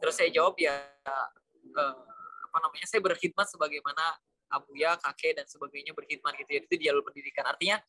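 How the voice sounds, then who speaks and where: A man from Indonesia talks as a guest in an informal storytelling way. His voice is fairly high and bright, and he moves along quickly, slipping in English words. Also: steady tone, dry recording. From Cirebon, West Java, Indonesia. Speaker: interview guest, Islamic school educator. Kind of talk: conversational personal storytelling.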